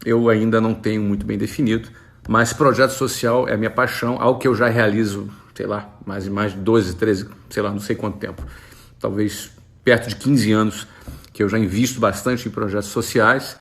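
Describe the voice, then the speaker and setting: A Brazilian man in his forties, an entrepreneur talking casually to camera and answering follower questions in a relaxed, conversational way. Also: lowish voice, a little fast. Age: 40s. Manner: relaxed, conversational, with frequent fillers.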